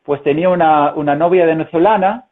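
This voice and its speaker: A man speaking learned Spanish with a Castilian accent, his first language being German. He talks in a fairly high voice and hesitates often, stringing filler sounds between his thoughts. The sound is thin and narrow, like a video call line. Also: fairly quick. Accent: Castilian Spanish, learned as a second language. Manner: hesitant, many fillers and restarts. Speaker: interview guest, translator. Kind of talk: conversational interview. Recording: tinny phone-like call audio.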